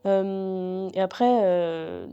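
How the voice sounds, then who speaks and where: A young woman guest talking casually in an interview, relaxed and hesitant with many fillers as she thinks aloud. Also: mid-range voice, very slow, clear, resonant tone, dull audio, soft background music. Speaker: singer-songwriter guest.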